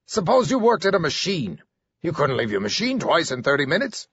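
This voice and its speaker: A man's voice: on the high side, wildly swooping pitch, brisk, fairly bright tone, breathy edge, no room sound, dull audio, pitched above the performer's own voice, heavily acted. A man voicing a furious heavyset middle-aged male supervisor who barks indignant reprimands.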